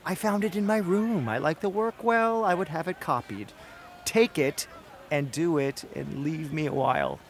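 An actor playing a charming, evasive officer and lover in a Shakespearean audio drama, courtly and placating. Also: male voice, on the high side, moderate pace, slight background noise, natural voice.

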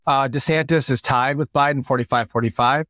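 A man's voice: mid-range voice, fairly even intonation, a little fast, fairly bright tone, no echo, studio quality. A man hosting a casual political chat podcast, stuffy with a runny nose, walking through poll numbers with many fillers. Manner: talks through numbers with frequent fillers and restarts. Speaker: podcast host.

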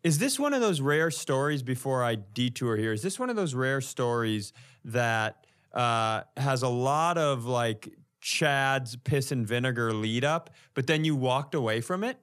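A man with a mid-pitched voice chats as a comedy podcast co-host. His delivery is conversational and wry, with a teasing edge in casual banter. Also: expressive, a little slow, a bit bright, smooth.

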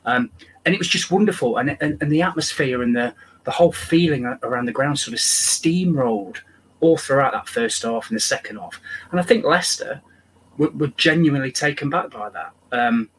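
A man with a croaky voice, a football fan guesting on a podcast, talking enthusiastically and rambling. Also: mid-range voice, expressive, a little fast, a little hiss or hum, poor audio. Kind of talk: casual podcast chat.

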